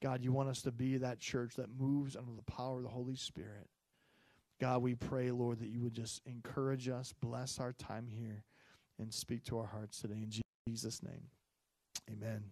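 A man in his forties preaching a Sunday sermon on a handheld microphone, chatty and full of fillers, rising loud on key points and dropping low and soft in prayer. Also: little pitch movement, moderate pace, no room sound. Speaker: pastor. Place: church stage, medium-sized room. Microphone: handheld mic.

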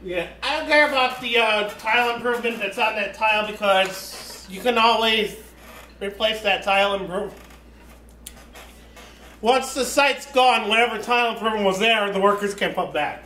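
A man's voice: high-pitched, normal speed, pushed and bright. An American man casually rambling through commentary on his strategy game, chatty and wandering off topic. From United States. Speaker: let's play host. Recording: echoey room.

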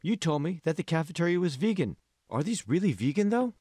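A man's voice: a little high, sing-song, a little fast, darkish tone, breathy edge, dry recording, clean studio sound, voice pushed up. A man voicing a friendly young woman in an audiobook, with a light, chatty manner. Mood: doubtful. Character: friendly young woman, pre-med student turned movie actress.

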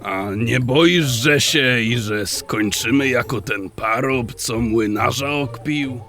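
A man playing a wary, grumbling old dwarf thief in an audio drama, with a gravelly voice and rustic, archaic dialect. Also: medium pitch, slow pace, sharp tone, soft background music. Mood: worried. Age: older.

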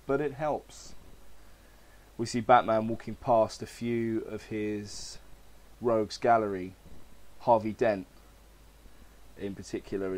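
A man's voice: on the low side, darkish tone. A man hosting a podcast, chatting casually and reading aloud in an informal, hesitant manner, his throat slightly crackly. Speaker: podcast host.

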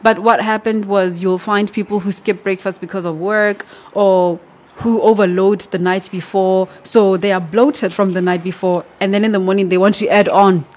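A woman's voice: medium pitch, fairly even intonation, moderate pace, a little mellow. A young woman, a clinic founder answering interview questions in a podcast studio, explaining with everyday examples and emphasis. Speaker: nutrition clinic founder, guest. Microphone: studio mic on boom arm.

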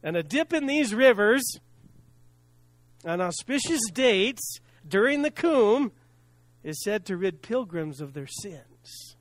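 An earnest middle-aged pastor delivers a sermon at a lectern, his voice a touch higher than most men's. His phrases fall away softly at the ends. The sound is close and dry, with little room around it. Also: very animated, dry recording. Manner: earnest, preaching from a lectern with pauses and soft drops; self-deprecating laugh at hard words. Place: church sanctuary with stage and screen. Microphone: stand mic at lectern, filmed from the back.

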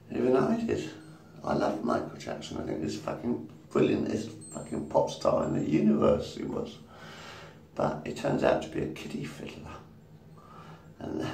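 Rambling gravelly man in his fifties. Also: mid-range voice, a little mellow, distant mic. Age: 50s. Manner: rambling, swearing, laughing at his own jokes. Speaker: vlogger. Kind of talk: rambling casual monologue to camera. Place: small room indoors. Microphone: phone camera at arm's length. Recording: roomy echo, from the mic, faint background noise.

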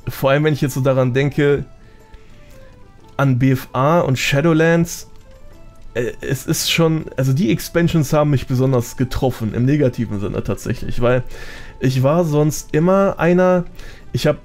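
A man chats in a casual gaming podcast, with music playing behind him. His talk is colloquial and peppered with English gamer slang, at an ordinary male pitch. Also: normal speed, darkish tone. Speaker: podcast guest, gaming content creator.

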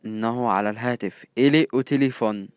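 A man with an Egyptian accent teaching phrases, repeating each one slowly and then glossing it in quick, casual asides. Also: medium pitch, clean tone, no echo, studio quality. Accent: Egyptian Arabic. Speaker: language teacher. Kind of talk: phrase-by-phrase language lesson.

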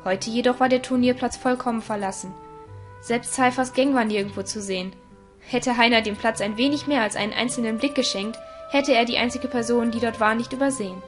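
A woman's voice: on the high side, brisk. A woman narrator reading a scripted audio story. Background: music.

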